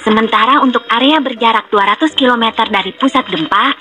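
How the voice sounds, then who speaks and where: A woman voicing a cheerful young panda tour guide in a children's educational game, speaking clearly and instructively. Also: fairly high pitch, brisk, bright, forceful tone. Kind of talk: scripted educational narration for children. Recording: music behind the voice.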